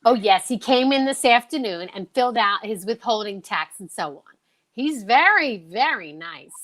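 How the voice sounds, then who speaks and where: A woman's voice: on the high side, lively intonation, moderate pace, fairly bright tone. A woman playing a polite, grateful, easily flustered lady friend in an old-time radio show, gracious and slightly fluttery.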